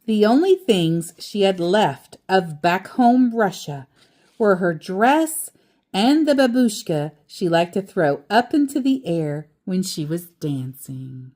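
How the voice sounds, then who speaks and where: A woman's voice: mid-range voice, very animated, slow, mellow. A woman reading a children's picture book aloud, with simple explanations in casual asides to a young listener.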